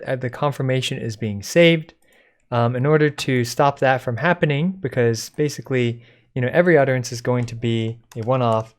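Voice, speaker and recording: A man presenting a code-along software tutorial in an informal, step-by-step explaining way. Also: mid-range voice. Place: indoors at a computer, screen recording.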